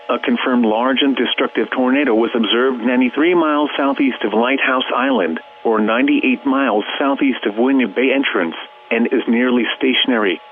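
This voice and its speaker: A synthetic male voice playing an automated weather radio announcer, reading an emergency bulletin in a flat, measured, mechanical way. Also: mid-range voice, natural voice. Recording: tinny narrowband radio, hum and hiss.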